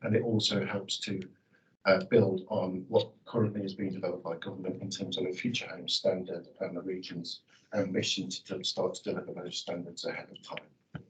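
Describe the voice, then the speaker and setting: A man with a very deep, slightly croaky voice presents a formal policy update to a committee. He speaks in long, jargon-heavy sentences with occasional fillers. He sounds set back from the microphone. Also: level tone, normal speed, recorded from a distance, rough recording. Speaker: officer presenting a report.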